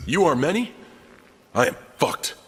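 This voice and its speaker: An actor playing an irreverent, crude wisecracking fighter in a fighting game, flippant and slangy, with reverb and noise. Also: male voice, a little high, sing-song, a little slow, fairly bright tone, breathy edge, full character voice. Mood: mocking. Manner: flippant, casual. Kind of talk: fighting game character dialogue.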